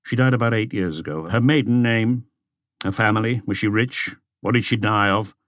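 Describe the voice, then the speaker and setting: A man's voice: fairly low pitch, normal speed, fairly bright tone, no echo, studio quality, lightly acted. A man voicing a seasoned police chief in an audiobook, giving terse, methodical instructions. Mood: brisk, commanding. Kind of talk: audiobook character dialogue.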